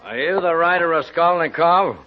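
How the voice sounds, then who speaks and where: A man's voice: on the high side, moderate pace, natural voice. An actor playing a stern, official male policeman in 1940s radio drama. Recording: muffled 1940s radio recording.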